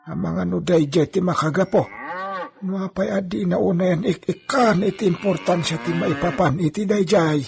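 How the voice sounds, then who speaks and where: An old hacienda foreman in an Ilocano radio play. His voice is high for a man, bossy and full of scorn, set over clear background music. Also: normal speed, a little mellow. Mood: scornful, scheming. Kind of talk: Ilocano radio drama acting.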